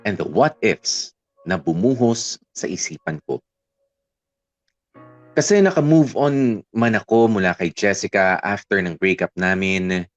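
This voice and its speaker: A letter writer looking back with regret, speaking in a thoughtful, heartfelt way. His voice sits slightly low. The sound is dry and a bit dull, like a radio broadcast. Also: dry recording. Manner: reflective, heartfelt. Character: reflective man reading his letter aloud. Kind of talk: scripted letter narration.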